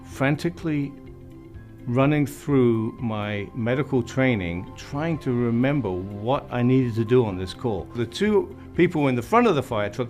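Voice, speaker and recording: A middle-aged man from Wales with a slight Welsh accent, a fire chief speaking in a measured, reflective way in an interview. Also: mid-range voice, a little mellow. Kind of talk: interview talk.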